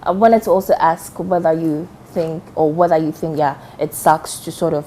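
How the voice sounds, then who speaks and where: Female interviewer, low and warm, halting questions. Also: fairly even intonation, slight background noise. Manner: hesitant questions with many "mm" backchannels.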